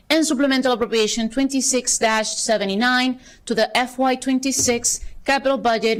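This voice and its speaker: A woman chairing a county council session, reading procedural items briskly with light asides, at a desk microphone in a council chamber. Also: a little high. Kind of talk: formal procedural meeting chairing.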